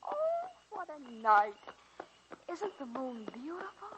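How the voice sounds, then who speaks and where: A woman's voice: high-pitched, wildly swooping pitch, slow, fairly bright tone, muffled recording, heavily acted. An actress playing a coy, restless young American woman in a 1940s radio drama, on a faintly noisy old recording. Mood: dreamy, restless. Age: young adult.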